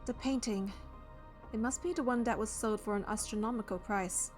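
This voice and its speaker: A woman with a fairly high voice reads the story of a video game aloud. She moves between narration and casual commentary, with light music playing behind her. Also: moderate pace, darkish tone. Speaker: game playthrough creator reading story text aloud.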